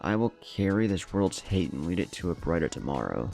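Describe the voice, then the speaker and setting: A man's voice: low voice, very animated, fairly quick, vocal fry, a little mellow, soft background music, heavily acted. A man voicing an earnest, resolute young ninja leader with emphatic speechmaking in a story narration.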